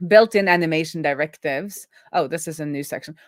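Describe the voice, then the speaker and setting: A woman's voice: low voice, a little fast, no room sound, studio quality. A woman hosting a coding livestream, chatting casually and thinking aloud as she works.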